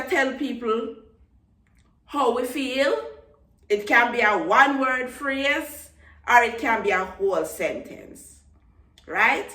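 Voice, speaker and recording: A woman's voice: mid-range voice, bright, forceful tone, echoey room, recorded from a distance. A young woman from Jamaica with a Jamaican accent, chatting casually to her vlog audience and repeating words for emphasis. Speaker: vlogger. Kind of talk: casual talk to camera.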